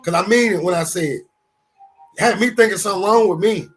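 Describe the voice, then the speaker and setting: A man in his fifties talking casually on a podcast, animated and swearing, full of restarts as he tells stories. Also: high voice, fast, music in the background. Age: 50s.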